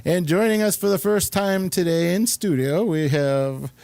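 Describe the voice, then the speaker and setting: A man's voice: high-pitched, slow pace, gravelly, a little mellow, no room sound. A man hosting a talk show, chatting casually with a rambling, informal and complimentary manner. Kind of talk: casual studio chat, introducing guests.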